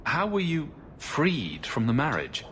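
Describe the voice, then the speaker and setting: An actor playing a cocky, playful adventurer hero in a video game, bantering with a romantic streak. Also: male voice, a little high, huge pitch swings, a little slow, fairly bright tone, slight background noise, full character voice. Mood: probing.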